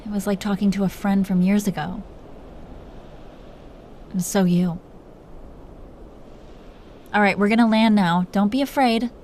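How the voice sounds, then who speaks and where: Dragon queen , female performer: mellow, mid-pitched, soft and halting, playfully possessive and affectionate; intimate romantic audio roleplay. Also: steady tone, slight background noise, lightly acted. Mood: gentle, wistful.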